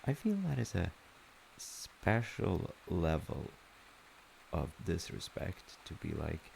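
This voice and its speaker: A man playing a loving, playful dad in an audio roleplay, telling a story in an unhurried, wry, rambling way. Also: sits low, gravelly, dark tone, a little hiss or hum, heavily acted, rough recording. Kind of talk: audio roleplay, informal bedtime-style storytelling.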